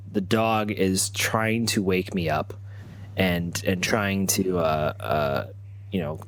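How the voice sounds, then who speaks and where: Low-voiced man telling a story haltingly. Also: dry recording. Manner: halting, often repeating words. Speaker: tabletop role-player. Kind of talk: improvised in-character storytelling in first person.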